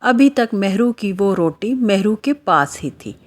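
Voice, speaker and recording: A woman's voice: mid-range voice, lively intonation, a little slow. A woman narrating a scripted children's moral story as the storyteller.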